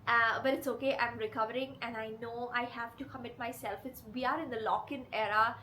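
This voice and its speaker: A woman with a cold that leaves her voice hoarse and rough, hosting her channel and talking earnestly and directly to her viewers. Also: fairly high pitch, steady tone, a little fast, bright, forceful tone, slight background noise, rough recording. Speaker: channel host. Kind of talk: confessional vlog talk to camera.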